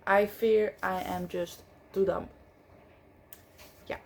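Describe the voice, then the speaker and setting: A woman's voice: medium pitch, fairly even intonation, slow pace, a little hiss or hum. A woman who speaks English as a second language, reviewing books in a casual, chatty way with frequent fillers and self-corrections. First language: not English. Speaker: book vlogger. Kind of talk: casual book review chat.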